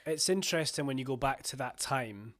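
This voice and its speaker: A man's voice: medium pitch, lively intonation, a little fast, fairly bright tone. Friendly young male podcast host. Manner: friendly, trailing, conversational. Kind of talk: casual podcast interview.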